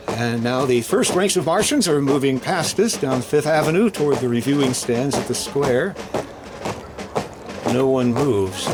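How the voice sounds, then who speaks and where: A man's voice: on the high side, heavy background noise. A man acting a solemn parade radio announcer. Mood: solemn, awed, then alarmed. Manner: hesitant, dramatic on-scene reporting. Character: tense radio announcer reporting live on a parade. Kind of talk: 1950s radio drama commentary.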